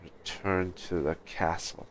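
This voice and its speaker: A man's voice: deep voice, fairly even intonation, a little slow, rough recording. A man livestreaming a game with casual, laid-back, musing commentary.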